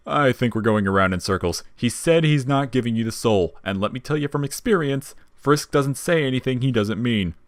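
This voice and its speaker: A man voicing a wry, laid-back, quietly protective skeleton in an audio story, with casual slangy talk. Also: mid-range voice, lively intonation, moderate pace, few pauses, no room sound, studio quality, pitched above the performer's own voice, lightly acted. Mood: wry, unbothered. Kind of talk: dramatic audio fiction character reading.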